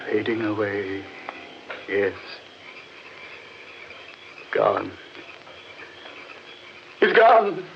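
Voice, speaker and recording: Slow, uneasy stubborn skeptic. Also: male voice, on the low side, sing-song, vocal fry, full character voice. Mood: shaken. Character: stubborn, rattled man clinging to reason in danger. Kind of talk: 1940s radio horror acting. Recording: noisy, muffled 1940s radio recording.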